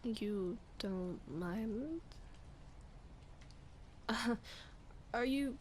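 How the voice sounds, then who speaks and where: A woman's voice: a little high, expressive. A woman acting as a sleepy, flustered young roommate in an intimate roleplay ASMR, murmuring hesitantly and often whispering, shy and playful. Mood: sheepish, apologetic. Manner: hesitant, halting, breathy murmuring with sighs. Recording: clear background ambience noise. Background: rain ambience.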